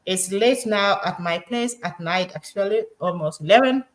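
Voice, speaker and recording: A woman's voice: medium pitch, moderate pace. A woman nurse from South Sudan introducing herself with enthusiasm on a video call from home late at night.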